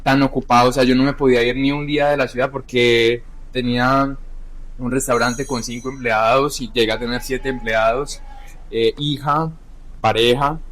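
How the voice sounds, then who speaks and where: A young adult man, a chef, talking candidly about his life in a halting, self-correcting way. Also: medium pitch, level tone, a bit bright, low-quality recording. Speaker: chef, podcast guest. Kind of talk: candid personal conversation.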